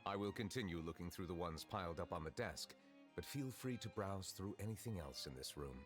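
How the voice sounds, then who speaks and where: An actor playing a calm, formal male chief justice who speaks politely and with measured care. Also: low voice, music in the background.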